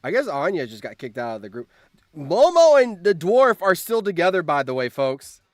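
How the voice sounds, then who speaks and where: Animated man streaming casual live commentary. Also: on the high side, normal speed, no room sound, clean studio sound. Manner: animated, exclamatory, repeats phrases. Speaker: streamer hosting a reaction game. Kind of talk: casual live-stream commentary, reading game events aloud.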